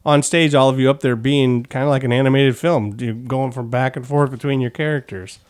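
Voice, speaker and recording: Male podcast host, mid-pitched, informal and joking, rambles through casual interview questions. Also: fairly even intonation, brisk, smooth.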